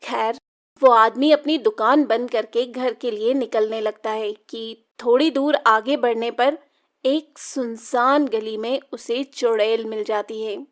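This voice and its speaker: A woman's voice: fairly high pitch, fairly even intonation, slow. A woman storyteller reading scripted narration for a children's story.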